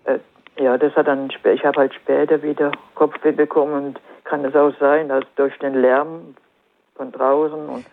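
A woman with a southern German accent calling in to a radio show with a cold, telling her story haltingly with many fillers. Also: low voice, level tone. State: has a cold. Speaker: caller. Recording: crackly phone line.

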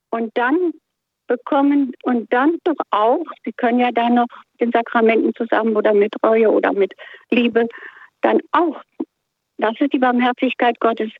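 A woman's voice: on the high side, dry recording. A woman calling into a radio show, talking in a hesitant, devout, rambling way. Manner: hesitant, searching for words, devout. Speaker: radio caller. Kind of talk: phone-in question, rambling. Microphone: telephone. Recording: tinny phone line.

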